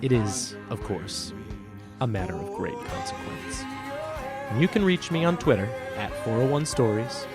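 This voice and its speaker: A man's voice: mid-range voice, very animated, moderate pace. A man hosting a storytelling podcast, speaking in an earnest, reflective manner. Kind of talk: storybook narration and personal host talk. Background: music under the voice.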